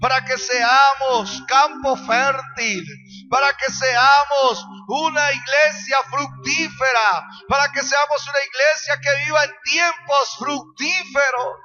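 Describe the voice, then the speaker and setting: A man's voice: extremely high pitch, fairly even intonation, slow pace. A man leading worship as a pastor, praying and exhorting in an impassioned, repetitive, imploring way. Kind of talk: fervent charismatic prayer and exhortation over worship music. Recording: dull lo-fi sound under loud worship music. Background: loud worship music.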